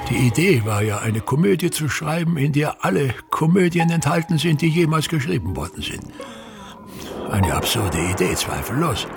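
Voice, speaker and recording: An actor playing a pompous, embittered aging stage actor in a radio drama, declaiming grandly and with self-pity. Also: male voice, fairly high pitch, gravelly, heavily acted. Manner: grandiloquent, declaiming, self-pitying, repeats phrases. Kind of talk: theatrical German-language radio drama monologue.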